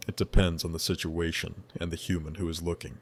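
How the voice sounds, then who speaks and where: Villa, a man voicing a deep-voiced angelic spirit. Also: flat delivery. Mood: calm, friendly. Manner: measured, formal, gently curious. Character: wise angelic male spirit from another dimension. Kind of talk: scripted dialogue.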